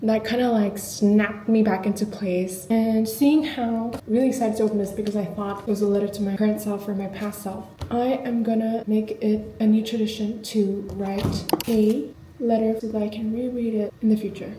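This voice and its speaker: A young woman in her twenties speaks in a confiding, chatty way, musing on her own life for a diary-style vlog. Her voice is soft and warm, sitting at an ordinary middle pitch. The room around her rings back noticeably, with a faint hum of ambience underneath. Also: steady tone, normal speed, hardly any pauses, roomy sound, poor audio. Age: 20s. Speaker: vlogger.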